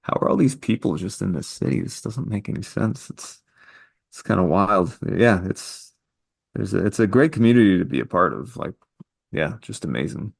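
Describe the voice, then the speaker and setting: A man from the Toronto area, a cartoonist guest, chatting calmly and easily on a call. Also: fairly low pitch, normal speed, gravelly, slightly rough. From Toronto area, Canada. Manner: calm, easygoing, laughs often. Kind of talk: casual interview chat. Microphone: video call.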